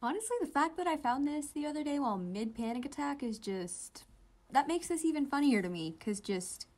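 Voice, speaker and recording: A woman's voice: high voice, animated, fairly quick, a little mellow. A young woman reading comics aloud and reacting casually as a fan, in a gushing, giggly, sweary way.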